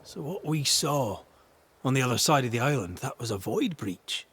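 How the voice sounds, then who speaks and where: An actor playing a wry, reluctant ghost hunter in a video game, with a husky, gravelly voice and dry, sardonic manner. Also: male voice, mid-range voice, lively intonation, moderate pace, a bit bright. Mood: wry, curious. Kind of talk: dramatic video game acting.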